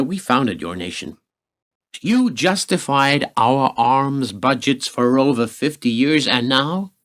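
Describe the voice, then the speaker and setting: A smug, menacing senior American government official acted by a man in a radio drama. He is sardonic and condescending, with a medium-pitched voice that swings theatrically up and down. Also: a bit bright.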